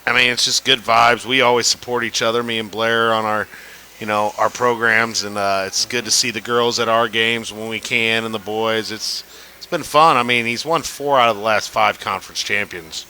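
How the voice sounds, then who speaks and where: A man's voice: on the low side, fairly even intonation, normal speed, bright, forceful tone, a little hiss or hum, rough recording. A man, a basketball coach co-hosting a podcast, chatting casually in a jokey, teasing way and often cutting in.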